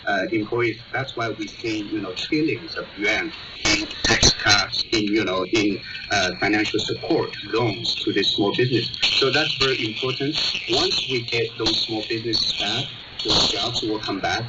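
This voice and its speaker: Muffled, distant man through heavy shortwave static. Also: on the low side. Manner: halting, repeats and rephrases as they explain. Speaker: news reporter as panel guest. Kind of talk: live radio interview commentary. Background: loud shortwave static.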